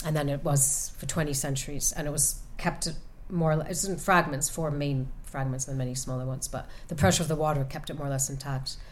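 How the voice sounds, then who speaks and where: A woman author answering audience questions about her novel, speaking thoughtfully with many pauses and restarts. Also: sits low, steady tone, a little hiss or hum. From United States. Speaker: author at a reading. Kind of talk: book talk and audience Q&A. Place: event room with an audience.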